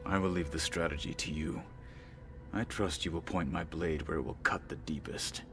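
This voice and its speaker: Deep gravelly stoic warrior. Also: male voice, over music. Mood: measured, earnest. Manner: formal, measured, solemn speech. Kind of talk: dramatic video game acting.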